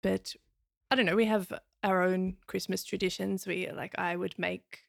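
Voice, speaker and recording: A woman chatting casually on a podcast, full of "like" and often dropping to a hush. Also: on the low side, steady tone, normal speed, creaky, no echo, studio quality. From Australia. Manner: chatty, lots of "like", drops to a hush.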